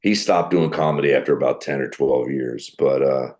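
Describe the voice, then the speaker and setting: A middle-aged man with a deep voice, a comedian telling animated stories in a podcast interview. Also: normal speed. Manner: animated storyteller who acts out quoted people. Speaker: guest comedian.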